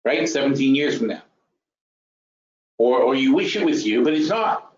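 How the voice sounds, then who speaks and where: A man's voice: medium pitch, animated, moderate pace. A man of fifty-five giving an informal, explanatory financial lecture as a webinar host, blunt and emphatic, thinking aloud, repeating phrases and asking rhetorical questions. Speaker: webinar host and trading educator. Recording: roomy, dull lo-fi webinar audio.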